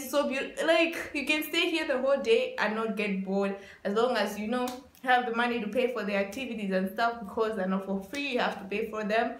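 A woman's voice: mid-range voice, fairly bright tone. Young woman vlogging in an echoey room. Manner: chatty, repetitive emphasis with frequent "like". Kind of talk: casual vlog narration. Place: small indoor room with bare walls. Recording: roomy echo.

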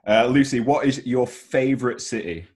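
A man's voice: mid-range voice, moderate pace, a little mellow. A man in his twenties doing a casual interview over a video call, with informal, hesitant phrasing. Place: home room, video call. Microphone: headset on video call.

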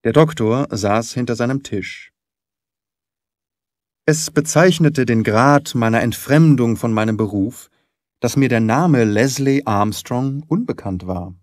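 A male audiobook narrator with a middle-range voice reads in a measured way. His diction is articulate and the recording is dry and close, suited to classic literary storytelling. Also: mid-range voice, dry recording.

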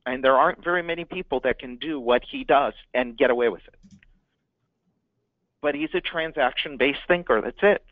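A man teaching business owners about marketing, leaning hard on key words and repeating them for emphasis. His voice sits at an ordinary middle pitch. The sound is thin and phone-like, with a dry, close feel and no room echo. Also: moderate pace. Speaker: marketing consultant. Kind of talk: business training talk.

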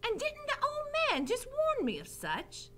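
A woman voicing a loud, dim servant girl who is hard of hearing, with blunt rustic speech, in a story reading. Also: very high voice, huge pitch swings, unhurried, a little hiss or hum, voice pushed up, heavily acted. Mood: blunt, amazed. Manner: shouting, rustic ungrammatical speech.

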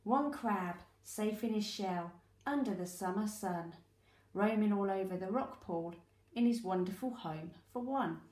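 British female storyteller for young children, slow and expressive, recorded in an echoey room. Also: medium pitch. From United Kingdom. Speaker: early years teacher reading aloud.

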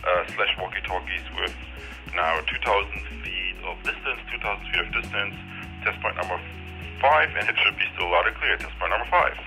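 A man's voice: sits low, fairly quick, gravelly, sharp tone, soft background music, poor audio. A man giving casual test commentary over a two-way radio, repeating his phrases like announcements. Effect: heard over a walkie-talkie radio. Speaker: product reviewer. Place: outdoors, transmitted by two-way radio.

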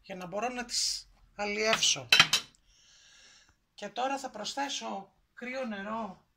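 A woman's voice: a little high, creaky, pushed and bright, a little hiss or hum. Middle-aged woman host, homely cooking demo in a kitchen, sizzling and clatter audible behind her. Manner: homely, encouraging, explains each step. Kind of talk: home cooking demonstration. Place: home kitchen.

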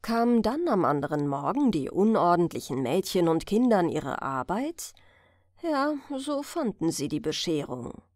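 A woman reading a fairy tale as an audiobook narrator, in an expressive, deliberate storytelling manner. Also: fairly low pitch, no echo, studio quality.

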